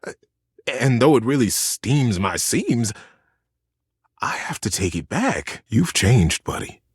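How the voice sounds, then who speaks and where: Folksy, swooping teddy bear. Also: male voice, medium pitch, big pitch swings, slow, husky, no echo, studio quality, heavily acted. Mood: hurt. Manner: folksy, earnest, cozy wording with hesitant stammers. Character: devoted, sentimental old childhood teddy bear, folksy and gentle. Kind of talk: video game character acting.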